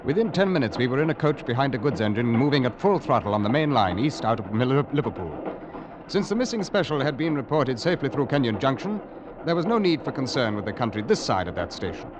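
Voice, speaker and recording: A man's voice: medium pitch, sing-song, noisy background, close to an everyday voice. Methodical police inspector, played by a man, old-time radio. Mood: narrating. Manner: measured, deliberate, logical. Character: methodical, calm male police inspector narrating his case. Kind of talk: 1940s-50s radio drama acting. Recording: vintage radio drama with music behind.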